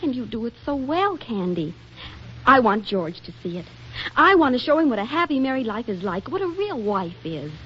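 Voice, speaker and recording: An actress playing a flippant, scheming, exasperated wife in a 1940s radio comedy, delivering wisecracks with arch drama. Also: female voice, a little high, big pitch swings, normal speed. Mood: insistent, scheming. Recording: dull, faintly noisy 1940s radio recording.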